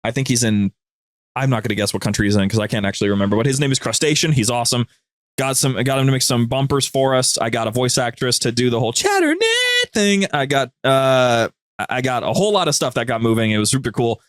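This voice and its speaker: A man with a mid-pitched voice tells stories as a podcast guest. He is informal and conversational, wandering through his anecdotes. The sound is dry, with little room around it. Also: lively intonation, fast, no room sound. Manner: rambling, informal, conversational storytelling. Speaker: podcast guest, show producer. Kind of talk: casual anecdote-telling in an interview.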